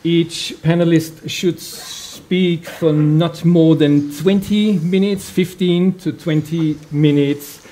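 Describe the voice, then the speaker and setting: A man giving a formal panel introduction as chair, in a halting, deliberate way. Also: on the high side, fairly even intonation, dark tone. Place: public panel event in a library.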